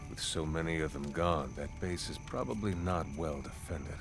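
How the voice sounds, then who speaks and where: An actor playing a steady military scout and tracker in a video game, terse and matter-of-fact. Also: male voice, rumbling low, normal speed, gravelly. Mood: steady, pragmatic. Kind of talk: scripted military game acting. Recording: game audio with loud music.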